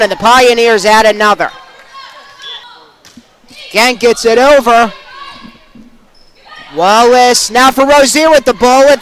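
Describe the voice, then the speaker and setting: A young man calling live sports play-by-play in short, punchy, excitable phrases. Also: very high voice. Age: young adult. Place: at sports venues, live during games.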